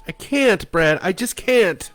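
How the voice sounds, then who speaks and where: A man's voice: expressive, a little slow, faint music behind. A man voicing a distraught young wife who is sobbing and pleading. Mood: hysterical. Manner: sobbing, high-strung pleading.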